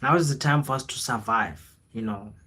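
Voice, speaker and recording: A man's voice: medium pitch, normal speed, pushed and bright, slightly rough. South African man chatting casually on livestream. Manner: informal, slangy, code-switches into local languages, repeats phrases. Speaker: livestreamer, content creator. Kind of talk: casual livestream chat, motivational rambling.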